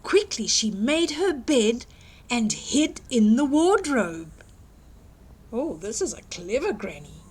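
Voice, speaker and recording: A woman reading a fairytale aloud to young children, dramatic and expressive, exclaiming and putting questions to the listener. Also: a little high, takes it slowly, husky. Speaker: children's storyteller.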